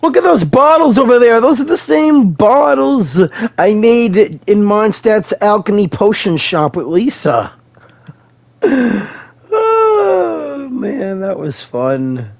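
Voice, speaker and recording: A man's voice: sits high, sing-song, slow pace, creaky, no room sound. A man giving casual, laid-back, reactive gameplay commentary with mild swearing. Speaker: game streamer.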